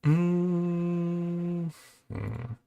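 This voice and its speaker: A man's voice: high voice, extremely slow, creaky, warm tone, smooth, lo-fi sound. A man giving casual reaction commentary, thinking aloud in short, hesitant fragments over music. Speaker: host of a reaction and ranking video. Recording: music playing behind the voice. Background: game trailer music.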